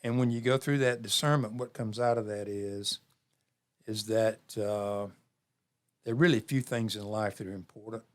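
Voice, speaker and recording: A man in his seventies talking reflectively as an interview guest, measured, with pauses and phrases repeated for emphasis. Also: lowish voice, steady tone. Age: 70s. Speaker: interview guest, community program leader.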